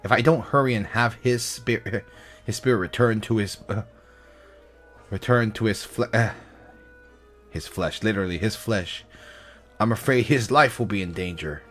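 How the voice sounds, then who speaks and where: A man's voice: on the low side, normal speed, pauses often, a bit bright, faint music behind, voice pushed up, lightly acted. A man voicing an earnest, determined young male hero in a game, reading lines with a raised voice.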